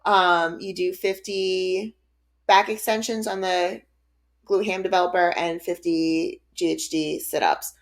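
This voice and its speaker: A woman's voice: fairly low pitch, steady tone, measured pace. A woman in her late thirties co-hosting a podcast, chatting casually in an upbeat way and laughing often. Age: 30s. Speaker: podcast co-host. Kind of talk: casual chat.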